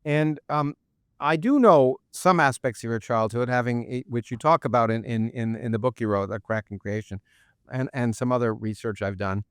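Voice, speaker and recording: A man hosting an interview podcast, talking in halting runs with many restarts and repeated words. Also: medium pitch, smooth, dry recording, clean studio sound. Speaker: podcast host. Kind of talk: interview podcast, intro then conversation. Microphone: remote interview setup.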